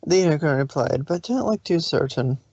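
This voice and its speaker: A woman's voice: sits low, animated, a little fast, a little mellow, breathy edge, dry recording, highs cut off. A woman reading scripted story narration as the narrator of a fan fiction.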